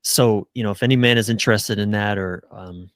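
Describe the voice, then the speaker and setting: A man's voice: fairly low pitch, steady tone, a little fast, dry recording, studio quality. A middle-aged man giving earnest, casual answers as a relationship coach in a conversational interview. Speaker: relationship coach, podcast guest.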